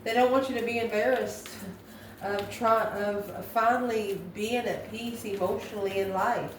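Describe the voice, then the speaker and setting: A woman giving an improvised spiritual tarot reading to viewers, musing in a halting way and posing questions to herself. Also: medium pitch, steady tone. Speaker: tarot card reader, channel host. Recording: echoey room, distant mic, faint noise.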